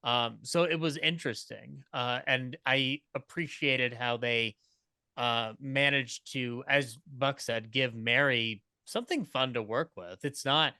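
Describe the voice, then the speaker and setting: A man talking casually as a panelist, halting and thinking aloud as he goes. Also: mid-range voice, pushed and bright, clear, resonant tone, no room sound. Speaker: podcast panelist.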